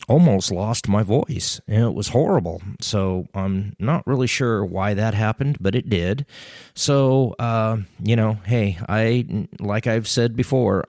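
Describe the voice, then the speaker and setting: A middle-aged man hosting a casual solo podcast, talking in a relaxed, rambling way with lots of ums, close to a studio mic. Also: on the low side, creaky, dry recording. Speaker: podcast host.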